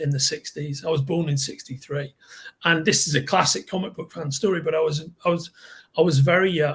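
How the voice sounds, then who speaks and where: Raspy guy in his 50s, kinda higher voice, rambly podcast guest. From Liverpool, England. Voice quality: slightly raspy. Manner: rambling, repeats words while recalling. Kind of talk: casual podcast chat.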